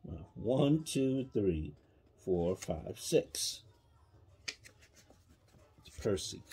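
A man's voice: on the low side, very animated, very slow, warm tone, husky, soft background music. A man reading a children's counting book aloud to a child, patiently prompting with questions. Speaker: parent reading a bedtime story to a child. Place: small child's bedroom, indoors.

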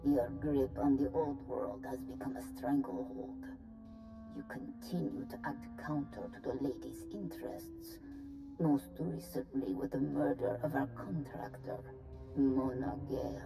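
A woman voicing a cool, commanding female alien envoy in a video game, formal and measured. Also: very deep voice, fairly even intonation, vocal fry, over music, full character voice, rough recording. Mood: stern. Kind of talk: video game cutscene acting.

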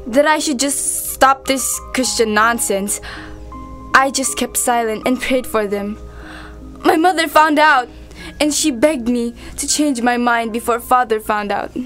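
Woman acting high-voiced, steadfast young prisoner. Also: a bit bright. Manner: earnest. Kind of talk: amateur student radio drama acting.

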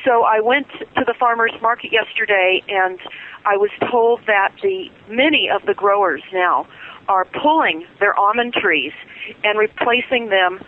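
A woman's voice: medium pitch, a little slow, slight background noise. Urgent woman on a phone line. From California. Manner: urgent, insistent, many filler ums. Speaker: guest. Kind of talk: phone-in radio interview.

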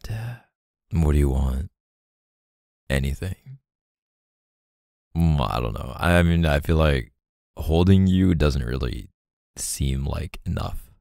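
A man playing a gentle, apologetic, doting boyfriend in an intimate ASMR roleplay, speaking tenderly and haltingly close to the mic. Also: rumbling low, creaky, no room sound, full character voice. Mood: soft, musing. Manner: tender, halting, sighing between phrases. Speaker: boyfriend roleplay audio performer.

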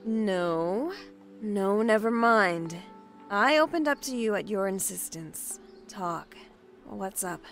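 A woman's voice: medium pitch, animated, takes it slowly, a bit bright, soft background music. A woman playing a vulnerable, guarded survivor in an audio drama, speaking hesitantly.

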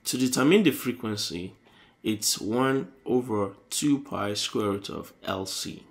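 A man hosting an electronics tutorial, explaining circuit diagrams on paper in a halting, self-correcting way. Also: fairly low pitch.

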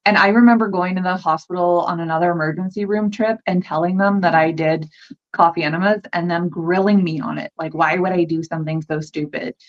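A woman's voice: on the low side, steady tone, fairly quick. A woman speaking candidly as a guest on a casual podcast, joining over a video call. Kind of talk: casual conversation. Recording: dull lo-fi video call audio.

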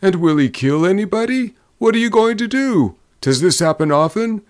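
A man's voice: sits high, voice pushed up. A man voicing a talkative, jocular salesman who grows bewildered, in a storybook Western. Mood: anxious, questioning. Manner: excitable, rapid-fire questioning. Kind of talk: storybook character voice in a Western tale.

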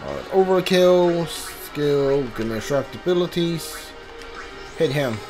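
A man's voice: a little high, expressive, unhurried. A man giving casual video game commentary, thinking aloud and reading text from the screen. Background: game music.

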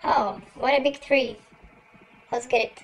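A man's voice: fairly even intonation, a little slow, gravelly, a bit bright, full character voice, poor audio. A young man voicing a little girl through a real-time voice changer, giving casual game commentary. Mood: playful, relaxed. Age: young adult. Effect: real-time pitch-raising voice changer.

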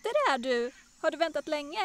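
A woman's voice: sits high, big pitch swings. A woman voicing a young lion cub prince in a cartoon fan dub, with a childlike, earnest way of talking. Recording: music behind the voice.

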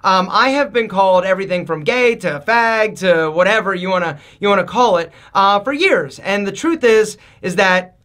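A man in his forties with a high voice, giving emphatic style advice straight to camera in a studio. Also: lively intonation, normal speed, a bit bright. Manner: emphatic, gesturing, addresses viewers as guys. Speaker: men's style vlogger. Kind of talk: energetic direct-to-camera advice. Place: studio against a dark backdrop.

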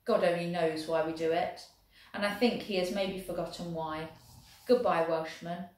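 A woman's voice: fairly low pitch, fairly even intonation, normal speed, darkish tone, close to an everyday voice. Lady doing a polite soldier, careful and formal, lots of echo. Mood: gentle. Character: tall German soldier, courteous and gentle.

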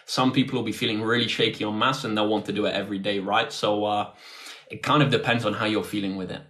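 A man's voice: fairly low pitch, steady tone, fast, fairly bright tone. A man in his twenties with a British accent, hosting a tutoring livestream in an informal, chatty way and speaking directly to viewers. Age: 20s. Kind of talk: casual livestream chat, answering viewer questions. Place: indoors, close to a phone on a tripod.

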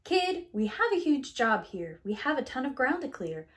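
A woman's voice: high-pitched, sing-song, normal speed, background noise. A woman voicing a big, doubtful construction truck in a storybook, explaining things in a patronising way. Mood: doubtful, dismissive.

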